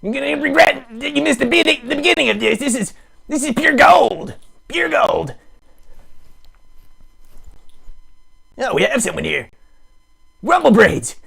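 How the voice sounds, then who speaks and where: A man voicing a needy, melodramatic puppet streamer, theatrical, sarcastic and mock-grand, with a gravelly, husky voice, improvising a comic livestream. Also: very high voice, very animated, normal speed, a bit bright, full character voice. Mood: excited, mock-grand. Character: melodramatic, needy puppet live streamer with big wry bravado. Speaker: host of a live puppet show stream.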